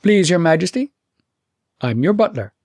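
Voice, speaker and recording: A man's voice: high-pitched, sing-song, normal speed, a little mellow, no room sound, studio quality, pitched above the performer's own voice. A man voicing a lovelorn, self-sacrificing young fairytale prince, musing and nonchalant, in a storybook reading. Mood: cheeky nonchalance. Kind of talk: storybook character acting.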